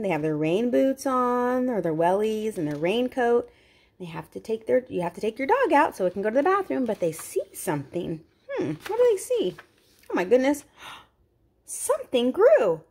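Expressive, high-pitched woman reading to children. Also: husky. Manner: expressive, explains simply to young children. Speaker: children's read-aloud host. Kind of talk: picture book read-aloud with asides to children.

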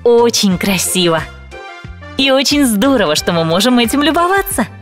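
A woman's voice: a little high, very animated, moderate pace. A woman voicing a kindly, patient grown-up guide in an educational cartoon for small children, encouraging and instructive. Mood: gentle. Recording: music behind the voice.

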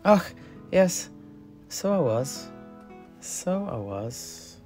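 A man's voice: on the high side, very animated, drawn-out words, a little mellow, faint music behind, full character voice. A man voicing a genial, hesitant alchemist and truthsayer telling fantasy lore in character, chatty and given to exclaiming. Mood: flustered, placating.

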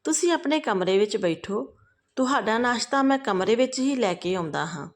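A woman's voice: fairly high pitch, animated, brisk. A woman storyteller voicing a callous grown son who talks to his mother in a curt, domineering way. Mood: coldly chiding.

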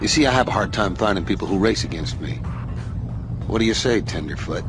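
An actor playing a sly, smug outlaw racer in a video game, goading with mocking theatrical pauses. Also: male voice, fairly low pitch, animated, natural voice. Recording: music behind the dialogue.

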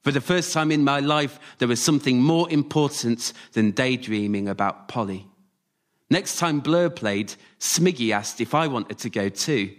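Guy reading his own life story on stage, mid pitched voice, measured short phrases. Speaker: writer-performer, storyteller. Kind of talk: scripted autobiographical storytelling, performed live. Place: radio theatre stage, before a live audience.

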